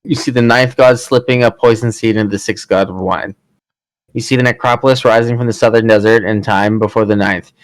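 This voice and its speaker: A man's voice: fairly low pitch, steady tone, brisk, no room sound, poor audio. Man narrating fantasy game, very dry sound. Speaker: player reading game narration. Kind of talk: scripted fantasy story narration.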